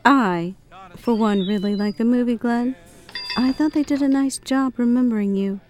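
A woman's voice: on the high side, normal speed, a little mellow, a little hiss or hum, lightly acted. A woman playing a thoughtful, encouraging literary lady from the past in an audio drama.

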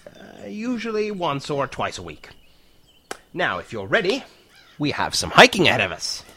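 A male actor plays an eager professor of time travel in an audio drama. His fairly high voice moves animatedly as he patiently lectures, pausing for weight. There is faint noise in the recording. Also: huge pitch swings, sharp tone, full character voice. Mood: lecturing. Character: enthusiastic male professor who discovered time-travel wormholes, patient explainer.

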